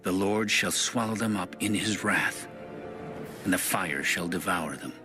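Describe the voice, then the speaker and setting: A man's voice: low voice, measured pace, music in the background. A man narrating an audio Bible in a solemn, scripted reading style.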